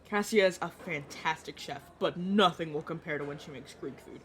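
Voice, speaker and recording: A woman's voice: low voice, normal speed, a bit bright, a little hiss or hum. A woman playing a chatty, playful young male vampire mentor in an audio roleplay, talking casually to the listener. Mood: gushing. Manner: teasing, casual, dramatic about food. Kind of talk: audio roleplay acting, addressing the listener directly.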